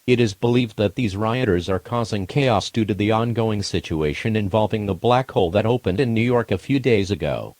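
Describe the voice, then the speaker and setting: A man voicing a formal emergency alert announcer, reading scripted warnings in a measured, impersonal, official manner. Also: lowish voice, a little mellow, dry recording, close to an everyday voice. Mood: official, grave.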